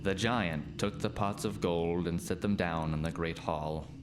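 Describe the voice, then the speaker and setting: A man's voice: low voice, a little slow, background noise. A man narrating a scripted folk tale as the storyteller in a radio play.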